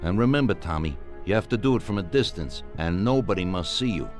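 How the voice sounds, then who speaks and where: Commanding, calculating Mafia boss. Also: male voice, deep voice, animated, soft background music. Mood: calm, commanding. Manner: authoritative, deliberate, giving orders. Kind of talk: scripted video game dialogue.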